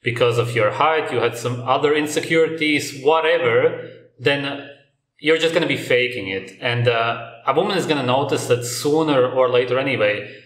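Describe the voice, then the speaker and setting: A man commenting bluntly over video clips, speaking in a bright apartment room. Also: mid-range voice, normal speed. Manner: dismissive, blunt critique. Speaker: reaction video host. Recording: roomy echo.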